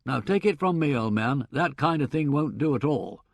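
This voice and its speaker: A man's voice: on the high side, lively intonation, moderate pace, vocal fry, no room sound, studio quality. A man doing a blustering country squire. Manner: hearty, boorish, blustering. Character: blustering middle-aged English country squire.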